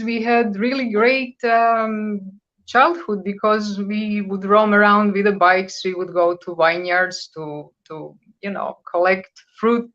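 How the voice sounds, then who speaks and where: A woman's voice: mid-range voice, a bit bright, dull audio. A Croatian-born woman in her fifties, a native Croatian speaker, answering as a guest in a radio interview with frequent hesitations. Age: 50s. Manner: conversational, searching for words, with hesitations.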